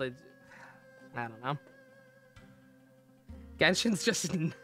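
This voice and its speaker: Bright-voiced, rambling game streamer. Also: mid-range voice. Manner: rambling, profane, exclamatory. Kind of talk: casual live gameplay commentary.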